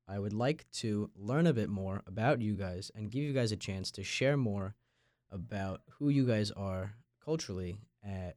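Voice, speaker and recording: A man's voice: lowish voice, a little mellow, no room sound, studio quality. A young man in his late teens from Long Island, New York, hosting a podcast and interviewing guests in a conversational way. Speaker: podcast host. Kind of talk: scripted intro and casual interview.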